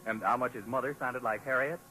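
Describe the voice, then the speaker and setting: A male radio announcer delivering a 1940s sponsor pitch with folksy, polished salesmanship. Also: fairly even intonation, fast talker, bright, forceful tone, husky, faint music behind, low-quality recording. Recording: 1940s radio broadcast recording.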